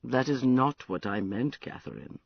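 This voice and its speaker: Drunk lovelorn prince, played by a man: slurred, drawn-out pleading, husky and low. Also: wildly swooping pitch, full character voice. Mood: mournful. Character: drunk, lovesick, melancholy male prince. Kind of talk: audiobook character acting. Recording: dull lo-fi recording.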